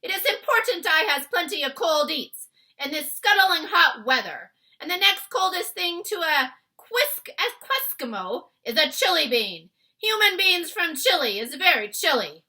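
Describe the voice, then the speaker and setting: Woman doing a roaring, brutish storybook giant, pitched high. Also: very animated, a little slow, full character voice. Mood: boastful, gloating. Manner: roaring, shouted delivery with mangled grammar. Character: brutish, bullying man-eating male giant, gluttonous and ill-tempered. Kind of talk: acted children's storybook read-aloud.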